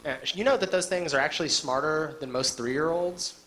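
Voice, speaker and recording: A preachy young waitress, voiced by a male comedian who lectures in a disapproving tone. The voice stays close to his own, bright and a little lowered. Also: moderate pace, a little hiss or hum, low-quality recording. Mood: lecturing.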